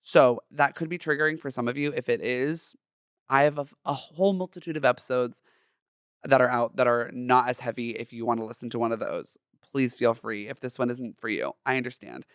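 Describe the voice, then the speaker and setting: A young man from Southern California with a feminine, light voice, hosting a personal solo podcast in a chatty, campy, expressive way. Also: mid-range voice, fairly quick, clean tone, no echo, clean studio sound. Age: young adult. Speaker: podcast host.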